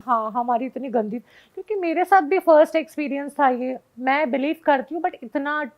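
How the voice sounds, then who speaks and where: A woman's voice: sits high, normal speed. A woman guest telling a personal story in casual conversation, switching often into English phrases. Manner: mixes Hindi with frequent English phrases.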